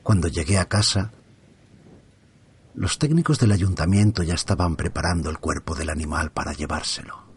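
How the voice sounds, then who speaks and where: Guy, middle-aged, low voice, reading a creepy story slow and hushed. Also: a little hiss or hum. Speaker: first-person narrator. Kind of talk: scripted horror narration. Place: at home by an open window.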